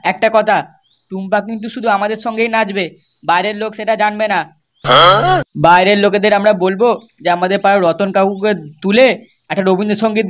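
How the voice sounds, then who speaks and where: Fast-talking bossy young man acted by a man. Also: high voice, natural voice. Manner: scolding, brash. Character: bossy foul-mouthed young club leader, scolding his mates. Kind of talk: comedy cartoon dialogue.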